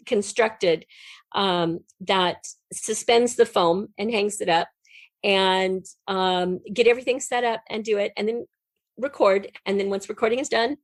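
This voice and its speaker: Woman, mid-pitched voice, interview guest, conversational storytelling, colloquial and rambling, very dry sound. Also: vocal fry, no echo. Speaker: interview guest, audio drama writer.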